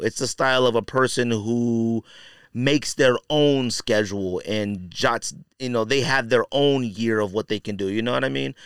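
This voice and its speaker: A man talks as a podcast host in a smooth, clear, medium-pitched voice. His delivery is colloquial and opinionated, leaning on repeated phrases to drive points home. The sound is dry, with hardly any room to it. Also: a bit bright, no echo. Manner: emphatic, repeats phrases for stress. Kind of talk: casual opinion commentary on sneakers.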